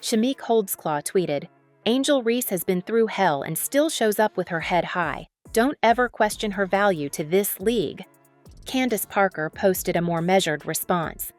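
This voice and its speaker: A woman's voice: mid-range voice, animated, unhurried, no room sound. Female narrator, mid-range voice, scripted dramatic sports news read, faint music bed.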